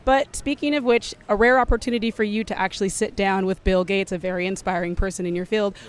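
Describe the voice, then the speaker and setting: A woman's voice: medium pitch, fairly even intonation, fast talker, clear, resonant tone. A woman working as a TV interviewer, putting questions to guests at an event.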